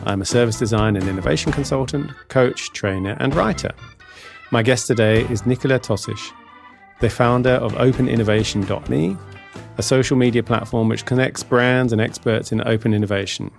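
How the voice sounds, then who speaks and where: A man hosting a podcast, reading a smooth scripted intro and then asking loose, relaxed questions. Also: medium pitch, brisk, soft background music. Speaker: podcast host.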